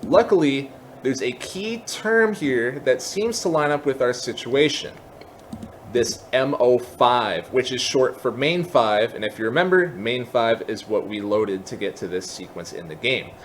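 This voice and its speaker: A man's voice: medium pitch, lively intonation, a little slow. Man giving a tutorial over background noise. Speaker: tutorial host. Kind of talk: casual software tutorial walkthrough.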